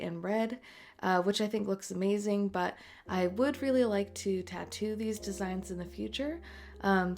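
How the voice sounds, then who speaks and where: A woman in her late twenties, an artist and tattooer, chatting in a voice-over over a speedpaint, raspy and congested from a cold, talking softly with many ums. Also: mid-range voice. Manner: soft, rambling, many ums and uhs. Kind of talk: voice-over chat during a speedpaint. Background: faint music.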